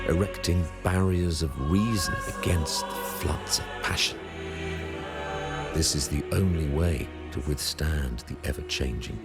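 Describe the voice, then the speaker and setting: Very deep, slow, solemn holy leader. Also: male voice, exaggerated voice. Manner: grave, measured, sanctimonious, formal. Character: solemn, pious male religious leader of a fantasy city. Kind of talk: scripted video game voice acting, oratory. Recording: loud music behind the voice. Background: loud game music.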